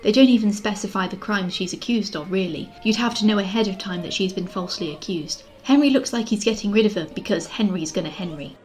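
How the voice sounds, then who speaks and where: A woman's voice: mid-range voice, quick pace, few pauses, a little mellow. A woman presents scripted critical commentary in a mid-range voice. She is sarcastic and drops in exasperated asides like a fed-up reviewer. Music plays behind her voice. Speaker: video essay host, film reviewer.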